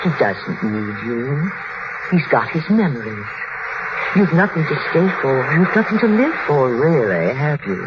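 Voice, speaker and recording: An actress playing a menacing, obsessive housekeeper in 1940s radio drama, with a coldly insinuating, formal and hypnotic manner. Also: female voice, rumbling low, big pitch swings, measured pace, heavily acted. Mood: coaxing, menacing. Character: menacing, obsessive housekeeper urging a young wife to despair. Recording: muffled noisy vintage radio broadcast.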